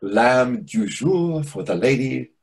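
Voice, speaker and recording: A man's voice: medium pitch, slow pace, gravelly, breathy edge, exaggerated voice. A man acting in a comic readers theatre play as a defensive, indignant restaurant waiter, over a video call. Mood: cheeky, defensive. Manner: indignant, animated. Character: defensive, excitable restaurant waiter, longing for respect. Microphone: computer microphone on video call.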